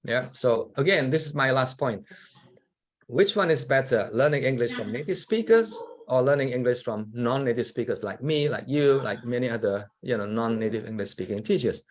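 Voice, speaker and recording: A man with an Indonesian accent whose first language is Javanese, giving a public lecture to teachers in a relaxed, conversational way and often adding "yeah" to check in with the audience. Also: mid-range voice, moderate pace.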